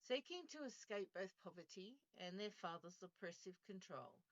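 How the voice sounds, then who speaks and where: A woman's voice: medium pitch, animated, normal speed, dry recording, highs cut off. A woman hosting a true crime podcast, reading from a script and often stumbling and correcting herself. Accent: Australian. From Australia. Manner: reads from a script with frequent restarts and self-corrections. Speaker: podcast host.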